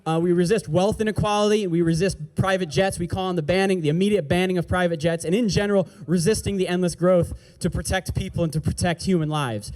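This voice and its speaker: A man's voice: sits high, fairly even intonation, fast, hardly any pauses, a little mellow. A man giving an impassioned speech at an outdoor rally as an activist organizer, angry and emphatic, repeating slogans.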